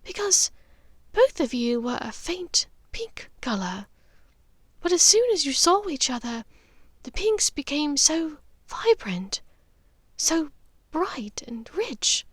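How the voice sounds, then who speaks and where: A refined middle-aged witch, acted by a woman narrator, speaks in a raised, high register. She is measured and precise yet emotional, as in a storybook, on a very dry recording. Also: sing-song, dry recording, full character voice. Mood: earnest, wistful. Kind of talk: audiobook character dialogue.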